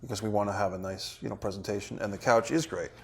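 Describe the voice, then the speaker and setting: A man directing actors, giving feedback in deliberate, hesitant phrases in a studio. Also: lowish voice, fairly even intonation, creaky, slight background noise. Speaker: film director. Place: indoor studio.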